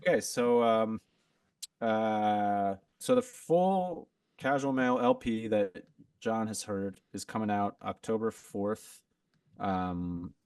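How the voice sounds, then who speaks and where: Podcast guest: man, lowish voice, loose rambling chat, dry sound a bit off-mic. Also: dry recording, recorded from a distance. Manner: relaxed, rambling, lots of "like" and restarts. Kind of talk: casual chat.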